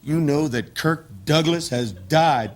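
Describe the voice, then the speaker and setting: Stage-drama role: volatile, insistent rough-edged brother, folksy storyteller, high-pitched and bright, light noise behind. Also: male voice, lively intonation, takes it slowly. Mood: intense storytelling. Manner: folksy, emphatic, repetitive storytelling. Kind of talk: naturalistic filmed stage-play acting.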